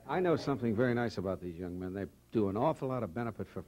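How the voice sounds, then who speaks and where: A man's voice: fairly low pitch, lively intonation, very fast, darkish tone, dull audio, a little hiss or hum. A man hosting a TV show, asking polite, prodding questions of band members into a handheld mic in a studio. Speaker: TV show host. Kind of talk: TV interview host. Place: TV studio set.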